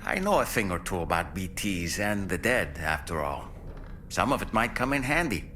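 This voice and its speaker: A man's voice: deep voice, very animated, normal speed, bright, forceful tone, a little hiss or hum, heavily acted. A man playing a talkative, courteous companion in a sci-fi video game, speaking politely with a slightly formal, wry manner. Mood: polite, friendly. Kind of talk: scripted video game character dialogue.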